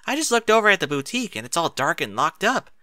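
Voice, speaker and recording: Young girl filly, storybook, impatient and excitable, high and bright male narrator acting it. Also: fast, no room sound, clean studio sound, full character voice. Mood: urgent.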